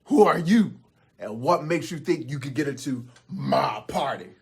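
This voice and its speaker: A man's voice: medium pitch, moderate pace, gravelly, slightly rough. A man voicing a boastful, taunting troll who throws parties, in a theatrical storytelling style. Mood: menacing. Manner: taunting, challenging. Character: swaggering party-throwing troll DJ, boastful and menacing.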